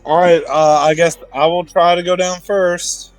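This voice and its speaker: A man's voice: high-pitched, little pitch movement, a little slow, dry recording, faint music behind, poor audio. A man chatting casually and informally as a player in a tabletop role-playing game, prone to swearing.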